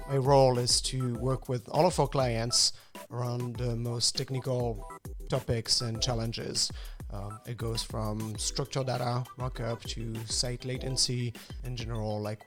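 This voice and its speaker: Gravelly Frenchman in interview. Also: mid-range voice. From France. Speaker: expert guest. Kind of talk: conversational interview answers.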